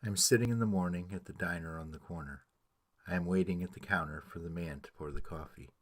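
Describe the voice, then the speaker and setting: A man with a low, husky voice reads narrative verse as a dramatic piece. His delivery is rhythmic and story-like, recorded close and dry. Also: quick pace, dry recording.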